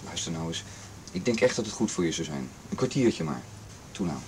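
A man's voice: low-pitched, animated, fairly quick, a little hiss or hum. A man playing a concerned, insistent young friend in a radio play. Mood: coaxing. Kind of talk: Dutch radio play acting.

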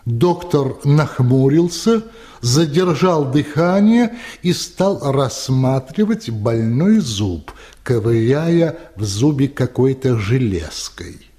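A man narrating a literary radio play in a measured, dramatic storytelling manner. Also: medium pitch, animated, dark tone, breathy edge.

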